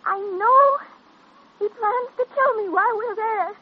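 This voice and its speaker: An actress playing a distraught, confused young woman with amnesia, speaking haltingly in an old-time radio drama. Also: extremely high pitch. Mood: haunted, agitated. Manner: hesitant, halting, repeating herself. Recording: dull, noisy vintage radio recording.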